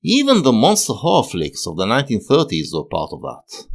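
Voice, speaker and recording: A man narrating a scripted analytical video essay, explaining in measured phrases with emphatic pauses. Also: medium pitch, huge pitch swings, vocal fry.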